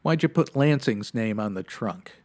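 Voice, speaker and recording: A man playing a stern, no-nonsense police sergeant with clipped, deadpan authority in 1950s radio detective style. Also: lowish voice, moderate pace, close to an everyday voice. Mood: dry, commanding.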